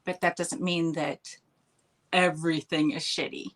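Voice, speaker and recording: A woman's voice: low voice, level tone, unhurried, a bit bright. Upbeat Canadian woman, chatty guest. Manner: chatty, upbeat, laughs often. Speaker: podcast guest, healer and mentor. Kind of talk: casual interview chat.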